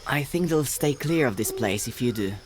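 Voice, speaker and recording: A man's voice: medium pitch, expressive, normal speed, background noise, lightly acted. A man playing an earnest, weary young boy hero in a video game, with music and night insects behind him. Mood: uneasy. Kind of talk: video game character acting.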